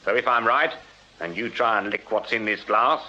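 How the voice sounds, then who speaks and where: Stern Victorian detective sergeant, bright forceful voice. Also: male voice, on the low side, normal speed. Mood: dry, stern. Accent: English. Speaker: actor. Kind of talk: British radio drama acting. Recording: dull radio drama sound with noise.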